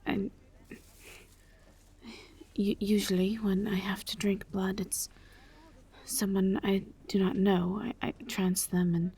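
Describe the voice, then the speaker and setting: A woman playing a gentle, reserved vampire in a roleplay audio drama, speaking in a hushed, breathy voice with careful, halting, formal phrasing. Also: mid-range voice, level tone, heavily acted. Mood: flustered, shy. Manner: halting, careful, formal diction without contractions. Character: gentle, reserved female vampire travelling with a knight.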